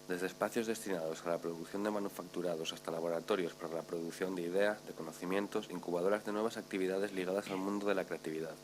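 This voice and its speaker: Deep-voiced man reading a paper fast. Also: slight background noise. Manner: formal, steady reading. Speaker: conference speaker. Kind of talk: reading a prepared paper aloud.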